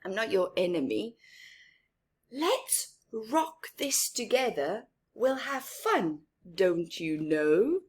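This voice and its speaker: A woman's voice: very animated, slow pace, exaggerated voice. A woman voicing a tiny, timid but friendly male mouse in a children's storybook, with a hesitant, peeping delivery. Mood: friendly, reassuring. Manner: squeaky, hesitant, breathy peeping.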